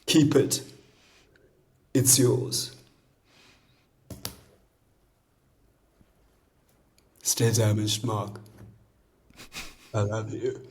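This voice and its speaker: A comedian voicing a self-styled male spiritual guru, speaking slowly and solemnly as if giving blessings. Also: on the low side, fairly even intonation, pitched below the performer's own voice. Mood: hushed, tender. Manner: slow, intimate, solemn blessing tone. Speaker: comedian in character.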